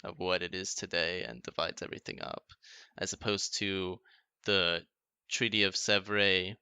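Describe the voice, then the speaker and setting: Deep crisp-voiced young man explaining history. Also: fairly even intonation, unhurried, pushed and bright, no echo. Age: young adult. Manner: explains events, loose with fillers. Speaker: podcast co-host. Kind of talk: casual history chat.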